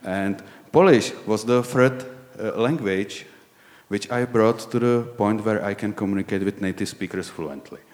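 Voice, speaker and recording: A man in his forties with a Czech accent, a lay preacher giving a sermon in a halting, candid way, often searching for words. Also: lowish voice, a little mellow, in a large hall. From Czech Republic.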